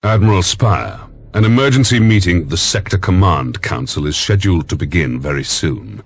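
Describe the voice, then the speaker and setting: A man voicing a grave, authoritative Lord Admiral in a sci-fi game, formal, measured and commanding. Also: low-pitched, expressive, slightly rough, no echo, heavily acted. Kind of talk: dramatic sci-fi game cutscene acting.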